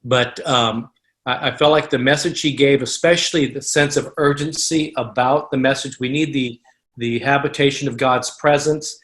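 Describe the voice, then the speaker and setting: A man's voice: medium pitch, fairly even intonation, moderate pace, fairly bright tone. A man hosting a ministry call, introducing speakers in an earnest, rambling way. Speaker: host.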